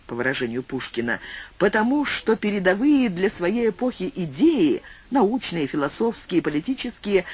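Husky, lively synthetic male reader. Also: high voice. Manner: reads quotation marks aloud word for word. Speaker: audiobook narrator. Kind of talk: reading an essay aloud.

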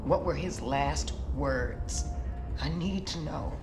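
Tough American woman commander, lowish voice, swaggering, emphatic, video game, roomy with music. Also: takes it slowly. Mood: grave. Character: tough, foul-mouthed, commanding female resistance leader. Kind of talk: cinematic game acting.

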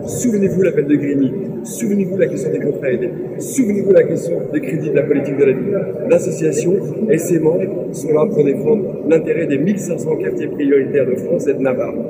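A man's voice: a little high, a little fast. A middle-aged man making a rousing, persuasive appeal to camera in a trade fair hall. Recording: distant mic.

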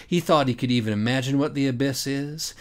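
A man's voice: mid-range voice, fairly quick, voice pushed up, lightly acted. Man voicing a grandiose, menacing ancient abyss. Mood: ominous, grandiose. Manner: solemn, grandiose, hushed. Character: timeless whispering abyss in a pony's eyes, grandiose, menacing.

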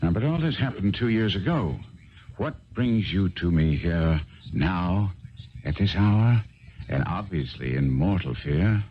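An actor playing a shrewd, coolly unflappable detective in a 1970s radio drama, calm and dryly ironic. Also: male voice, low voice, unhurried, muffled recording.

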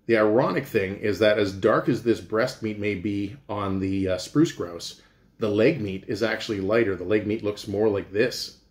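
A man's voice: on the low side, moderate pace. A middle-aged man, a chef giving an informal, folksy cooking demonstration and explaining as he goes. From Canada. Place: small indoor room with hard walls. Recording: echoey room.

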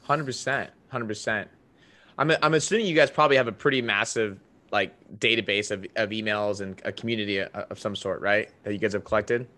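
A man's voice: fairly low pitch, brisk, bright, forceful tone. A man in his thirties hosting a video-call interview, upbeat and salesy, often tagging his sentences with "right?". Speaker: podcast host. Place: home room with a framed poster. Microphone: desk podcast mic on a video call.

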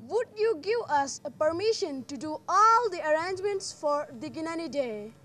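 A teenage girl playing a dutiful young court minister in a school drama, deferential and a little halting. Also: extremely high pitch, expressive, fairly bright tone. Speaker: student actor.

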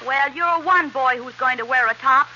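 Bossy wife, sharp high voice. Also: female voice, moderate pace. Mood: bossy, dismissive. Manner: brusque, commanding, condescending. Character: bossy breadwinning wife in a role-reversal sketch. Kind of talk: 1930s radio comedy acting. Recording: dull, hissy 1930s radio recording.

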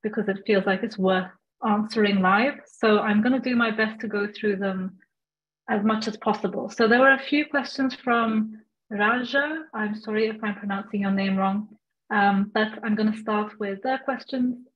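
A young woman with a medium-pitched voice hosts an online Q&A. She talks informally as she relays questions, and the call audio is slightly muffled and lo-fi. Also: moderate pace. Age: young adult. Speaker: webinar moderator. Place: indoors, on a video call. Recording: dull, lo-fi video call audio.

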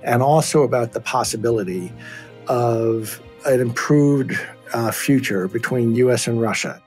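Man, mid pitch, interview clip, candid and digressive. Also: unhurried, a little mellow, faint music behind. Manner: rambling, blunt, occasionally swearing. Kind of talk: interview excerpt.

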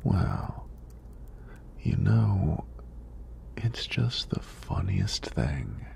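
A man playing a friendly, teasing EMT in a soft-spoken ASMR roleplay, with a deep, breathy, gravelly voice and an unhurried, reassuring manner. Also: huge pitch swings, exaggerated voice. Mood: playful, easygoing. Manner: unhurried, reassuring, lightly playful. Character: friendly, teasing young male EMT doing a screening.

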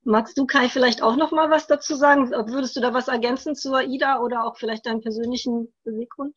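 A woman moderator hands the word to guests on a video call with short, inviting prompts. Her voice is somewhat high and husky, and the call audio sounds dull and lo-fi. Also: steady tone, fast. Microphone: laptop or webcam mic on a video call.

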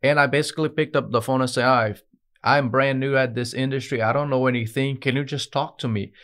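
Brazilian man with a smooth voice. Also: medium pitch, steady tone, fairly bright tone. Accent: Brazilian Portuguese. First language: Portuguese. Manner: earnest, with frequent "uh" and "um" pauses. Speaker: guest, dispatch manager. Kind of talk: casual interview storytelling. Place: podcast studio with branded backdrop. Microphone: desk podcast mic on a stand.

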